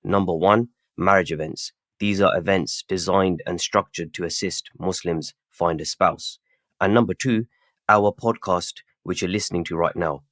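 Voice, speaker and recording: A man with a low, steady voice hosts a podcast in a measured, formal manner. He opens with Arabic greetings. The recording is dry and close. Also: no echo. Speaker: podcast host. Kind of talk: podcast hosting with scripted intro.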